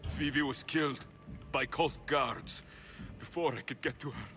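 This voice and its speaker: An actor playing a grief-stricken, furious young Greek mercenary with an intense delivery in a video game drama. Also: male voice, medium pitch, measured pace, long reverb tail, over music, lightly acted. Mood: grieving. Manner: intense, forceful.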